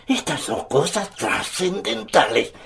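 Slow, creaky-voiced devout old grandfather. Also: sits high, sing-song, fairly bright tone, heavily acted. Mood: calm, reassuring. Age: older. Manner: earnest, hesitant openings, measured storytelling. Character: devout elderly grandfather, patient, earnest, emotional storyteller. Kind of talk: Spanish-language radio drama acting.

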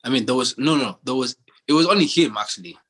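A young man from Kenya in casual chat, telling stories in a relaxed way with many fillers and restarts. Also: medium pitch, fast talker, slightly rough.

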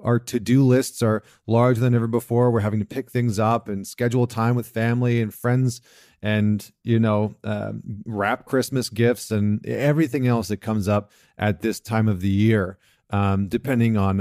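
A man in his thirties talks alone to his listeners in a reflective, earnest way, often restarting a thought and leaning on fillers. His voice sits fairly low. The sound is close and dry, with no room around it. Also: level tone, normal speed, a little mellow, dry recording. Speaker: podcast host, men's coach. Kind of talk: casual solo talk with a read passage.

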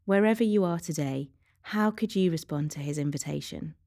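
Low-voiced woman reading a devotional, mellow tone. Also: a little fast, dry recording, studio quality. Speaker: devotional reader. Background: faint music.

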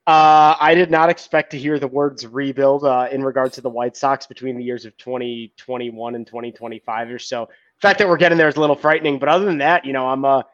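A man recaps games in a rambling way, full of fillers, his sentences often fading off quietly. The recording sounds dry and a bit dull. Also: mid-range voice, fairly even intonation, quick pace, dry recording. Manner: rambling with many "uh"s, trailing off quietly. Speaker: podcast co-host. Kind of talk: casual sports recap.

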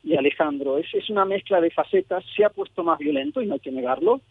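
A sociologist born in Mexico and raised in Argentina, talking as a guest in a radio phone interview, thoughtful and hesitant with many fillers. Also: male voice, sits high, sing-song, fast, creaky, husky, no room sound. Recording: phone line.

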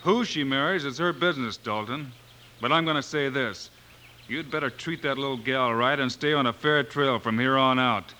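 An actor playing a steady, fearless, fair-minded marshal hero in an old radio western, firm and plain-spoken. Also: male voice, mid-range voice, moderate pace, a little hiss or hum, natural voice. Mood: stern.

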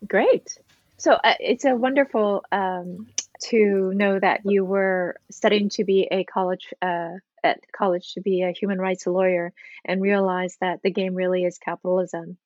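A woman co-hosting a podcast interview, asking careful, measured questions. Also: mid-range voice, steady tone, dry recording. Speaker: podcast co-host. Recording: slightly dull lo-fi audio.

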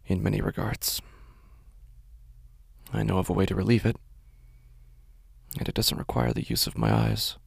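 An actor playing a weary, troubled man in an audio drama monologue, brooding and halting, often hushed. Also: sits low, sing-song, gravelly, breathy edge, no room sound, exaggerated voice. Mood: weary, resigned. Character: weary, troubled man brooding over his warped perception.

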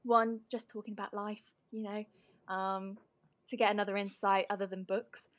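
A woman's voice: mid-range voice, level tone, normal speed, dry recording. Bubbly woman hosting a book podcast. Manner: bubbly, giggly, many ums. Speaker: podcast host. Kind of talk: casual podcast chat.

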